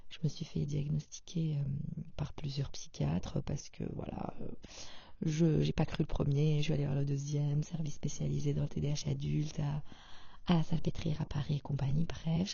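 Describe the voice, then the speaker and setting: A woman hosting a podcast, talking in a soft, half-whispered, hesitant way with lots of fillers, in an intimate personal monologue. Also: sits low, flat delivery, moderate pace, gravelly, husky, no echo, muffled recording. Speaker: podcast host.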